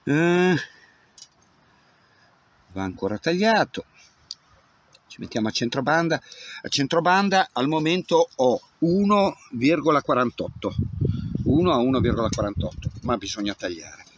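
A man in his fifties with a mid-pitched voice gives a casual hands-on demonstration in an open field. He talks himself through each step in a muttering way, like someone busy working. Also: animated, unhurried, pauses often, highs cut off, slight background noise. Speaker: amateur radio operator. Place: open grassy field outdoors. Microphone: camera at about arm's length.

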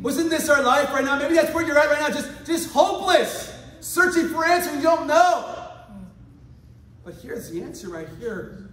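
A man preaching a lively sermon, swinging from quiet asides to shouted exhortation and acting out quoted voices. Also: extremely high pitch, moderate pace. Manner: acts out quoted voices for laughs. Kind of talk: lively sermon with congregation responses. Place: large church hall. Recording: echoey hall, far from mic, congregation. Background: congregation responding.

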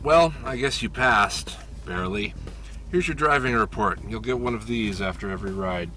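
An actor playing a grumpy, unimpressed driving instructor and coach in a comic game, deadpan and grudging. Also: male voice, fairly low pitch, moderate pace, over music, close to an everyday voice. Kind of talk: comic game cutscene acting.

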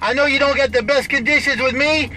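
A man's voice: very high voice, steady tone, fairly quick, rough recording. An American man chattering on CB radio from inside a vehicle in showy, repetitive, sing-song call-outs. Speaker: CB radio operator and video recorder. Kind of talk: CB radio chatter with rhythmic repeated catchphrases. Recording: loud vehicle and radio background noise.

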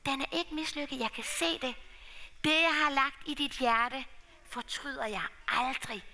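A woman's voice: high voice, long reverb tail. Woman voicing a tender, longing father. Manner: tender, calling out warmly. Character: loving, longing father waiting for his son.

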